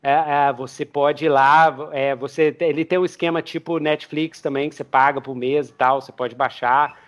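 A man's voice: mid-range voice, steady tone, moderate pace. A Brazilian man hosting a podcast in casual, enthusiastic interview chat. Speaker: podcast host.

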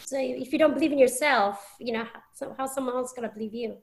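A woman's voice: high-pitched, fast talker, vocal fry. A young English woman, a podcast guest, talking casually with many restarts and fillers. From England.

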